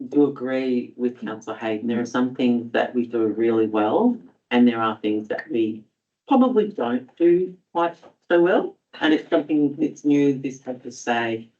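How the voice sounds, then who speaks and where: A man's voice: medium pitch, animated, normal speed, darkish tone. A man councillor speaking hesitantly in a council meeting debate. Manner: hesitant, with many fillers. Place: council chamber. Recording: dull audio from a distant mic.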